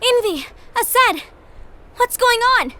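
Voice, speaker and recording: A woman's voice: extremely high pitch, lively intonation, takes it slowly, pushed and bright, slight background noise, exaggerated voice. An actress playing an anxious, innocent young girl disciple in a video game cutscene. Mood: alarmed.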